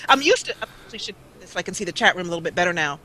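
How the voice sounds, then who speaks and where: A woman's voice: on the low side, very animated, rapid-fire, bright, forceful tone, slight background noise. A woman in her forties, a guest let's player chatting casually in an interview, rambling with many fillers on an old taped-up mic. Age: 40s. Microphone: old duct-taped mic that cuts out.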